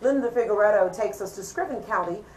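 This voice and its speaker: A woman's voice: mid-range voice, quick pace, a little mellow, slight background noise, low-quality recording. A woman anchoring a TV newscast, reading the news in a broadcast style. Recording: control room chatter behind the voice. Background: director's cues and crew talk.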